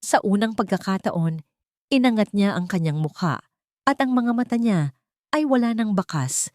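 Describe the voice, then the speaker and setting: A woman's voice: medium pitch, lively intonation, moderate pace, darkish tone, no room sound. A woman reading a scripted dramatic love story as its narrator.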